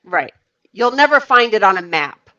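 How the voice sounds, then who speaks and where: A woman with a North American accent talks casually and explains things phrase by phrase to a learner. Her voice sits at a medium pitch. The audio is dull and a little lo-fi. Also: sing-song, moderate pace, pushed and bright. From Canada and the northeastern US. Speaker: conversation partner. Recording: dull lo-fi online call audio.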